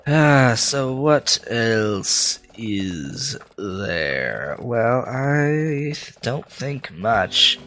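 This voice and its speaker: A man's voice: medium pitch, very animated, extremely slow, fairly bright tone. A man with a stuffy nose from a cold, rambling through casual gameplay commentary with many filler sounds. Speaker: let's play host.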